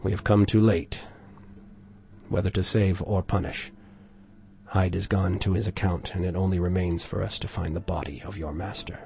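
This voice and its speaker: A man voicing a grave, resolute lawyer in a dramatic story reading, declamatory and forceful. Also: low voice, steady tone, moderate pace, gravelly. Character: stern, commanding gentleman lawyer, grave and resolute.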